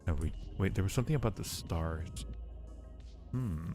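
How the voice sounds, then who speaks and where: Male game streamer, deep mellow voice, thinks out loud while reading on-screen text, music underneath. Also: lively intonation, moderate pace. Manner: musing aloud, repeats phrases to himself. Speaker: live streamer. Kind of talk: live game commentary mixed with reading game text aloud. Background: background music.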